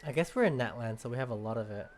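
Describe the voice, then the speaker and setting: A game player chatting casually at a medium pitch while playing. The speech is halting, like thinking out loud, with faint music behind it. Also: expressive. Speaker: game streamer. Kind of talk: casual gameplay commentary.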